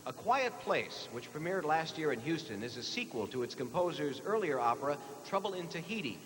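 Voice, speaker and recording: American man, quiz show host, slightly high voice, live question reading, reverberant hall, noticeable noise. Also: sing-song, fairly quick, a bit bright. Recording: echoey hall, clear background noise.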